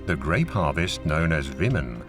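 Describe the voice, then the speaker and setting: A low-pitched man narrates an educational documentary with clear music playing underneath. His delivery is scripted, measured and formal, laying out information in an orderly way. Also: sing-song. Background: music behind the voice.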